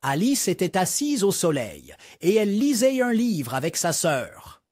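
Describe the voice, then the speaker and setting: A man narrating a scripted storybook for young children in an expressive read-aloud manner. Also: high voice, a little slow, no echo.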